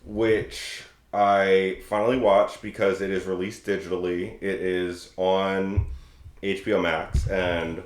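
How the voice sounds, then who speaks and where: A man's voice: low-pitched, little pitch movement, slow pace. A man speaking as a podcast co-host in casual chat, earnest and opinionated. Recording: echoey room.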